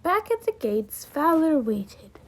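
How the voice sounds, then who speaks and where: A woman's voice: high-pitched, very animated, slow. A woman reading scripted story narration as a student volunteer in readers theater.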